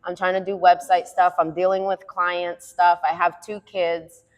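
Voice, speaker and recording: Animated woman in a reverberant room. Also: on the low side. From Puerto Rican heritage. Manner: animated, laughs often, quick bursts when venting. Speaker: podcast co-host. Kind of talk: candid casual chat.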